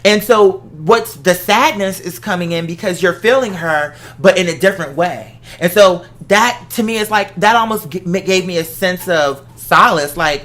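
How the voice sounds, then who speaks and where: A casual talk host with a bright, forceful voice at a middling pitch. The delivery is conversational and animated, working through personal stories with examples and quoted asides. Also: normal speed, few pauses. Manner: animated storytelling, explains with examples and acted-out quotes.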